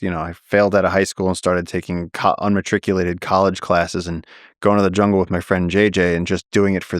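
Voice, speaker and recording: A man's voice: low-pitched, vocal fry, no echo, studio quality. A man speaking as an interview guest, telling his story earnestly and with animation, often restarting his sentences. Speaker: guest, naturalist and explorer. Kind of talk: conversational storytelling.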